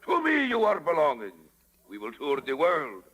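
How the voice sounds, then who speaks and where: An actor playing a greedy, bullying puppeteer showman in 1930s radio style, with a booming, gravelly voice, an Italian accent and theatrical broken English. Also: huge pitch swings, moderate pace, a bit bright, full character voice. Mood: mocking, menacing. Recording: vintage 1930s radio, reverberant hall.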